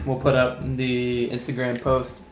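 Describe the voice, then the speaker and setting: A man co-hosting a casual podcast chat, speaking in a calm, monotone way. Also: medium pitch, slow pace. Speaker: podcast co-host. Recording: echoey room, faint background hum.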